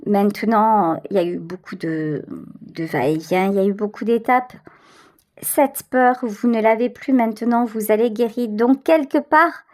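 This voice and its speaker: A woman's voice: fairly high pitch, measured pace, a little mellow. A woman giving a tarot reading straight to the listener, conversational and emphatic, repeating words for stress. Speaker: tarot reader, channel host.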